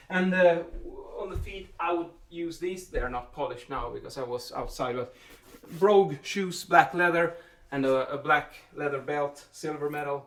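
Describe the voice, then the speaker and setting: A man's voice: on the high side, fairly bright tone. A man giving an informal explainer to camera, thinking aloud with repeated words and self-corrections. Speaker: vlog host and building acoustics specialist answering a question. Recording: voice distant from the microphone.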